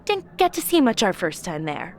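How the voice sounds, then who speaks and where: A woman's voice: mid-range voice, big pitch swings, moderate pace, a little hiss or hum, close to an everyday voice. An actress playing a casual, eager, persuasive modern teenage girl in a fantasy audio drama. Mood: coaxing.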